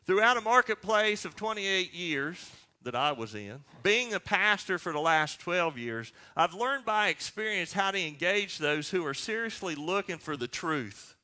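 A man's voice: high-pitched, animated, unhurried, hardly any pauses, pushed and bright. A man in his sixties preaching a sermon as pastor, speaking emphatically and posing questions that he answers himself. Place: church sanctuary.

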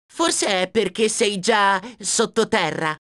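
A woman's voice: medium pitch, slow, a bit bright, no room sound. A woman voicing a plucky, cheeky young male dragon hero in a video game, self-assured and wisecracking, close on a dry studio mic. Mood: cocky. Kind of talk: video game character acting. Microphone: close studio microphone, dry.

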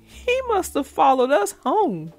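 A woman voicing an excitable young hippo boy, the little brother in a storybook. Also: high voice, slow, faint music behind, pitched above the performer's own voice, heavily acted. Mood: excited, earnest.

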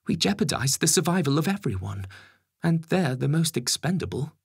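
A man acts out a pragmatic, cold-blooded Home Secretary in a dramatic audiobook. The voice is pushed well up in pitch, slightly rough and dryly recorded, pressing each argument with calculating insistence. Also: sing-song, moderate pace, dry recording, clean studio sound, exaggerated voice. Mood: cold, insistent. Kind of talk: acted dialogue in a dramatic story reading.

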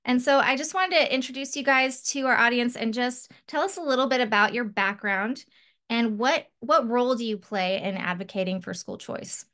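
Bright-voiced woman hosting an interview. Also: a little high, moderate pace. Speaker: host. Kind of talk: podcast interview. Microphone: video call.

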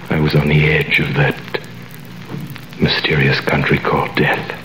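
A man's voice: a bass voice, little pitch movement, unhurried, a bit bright. An actor playing a young male prisoner who tells his own story in a 1938 radio drama, intense and dramatic. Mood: brooding. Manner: intense, dramatic first-person telling. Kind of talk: 1930s radio drama narration and acting. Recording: old 1930s radio recording, roomy with faint hiss.

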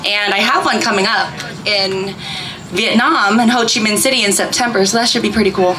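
A woman's voice: medium pitch, fairly quick. A woman co-hosting a public event on an outdoor festival stage, heard through a microphone and PA. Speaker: event co-host. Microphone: stage microphone through PA speakers. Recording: outdoor PA with faint noise.

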